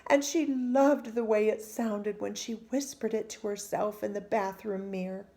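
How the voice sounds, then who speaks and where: A woman reading a children's storybook aloud with expressive, deliberate phrasing. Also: medium pitch.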